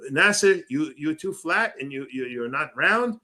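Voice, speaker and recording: Brief: stubborn male bodybuilder, halting and insistent, put on by a man, bright tone. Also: on the high side, expressive, voice pushed up, exaggerated voice. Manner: earnest, insistent, halting repeats. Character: male bodybuilder who is stubborn about his diet.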